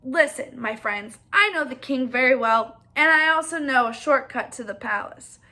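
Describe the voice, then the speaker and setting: A sly fox villain from a children's storybook, voiced by a woman, high and bright, feigning concern. He is persuasive and eager to seem helpful. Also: animated, moderate pace. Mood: slyly persuasive. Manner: smooth-talking, persuasive.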